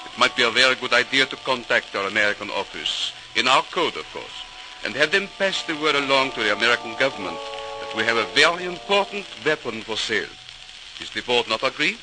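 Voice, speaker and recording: A man's voice: mid-range voice, animated, fairly quick, lightly acted, low-quality recording. Man playing businesslike boss addressing a board, formal, old radio drama w music under. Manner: formal, persuasive. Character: businesslike male company director addressing his board.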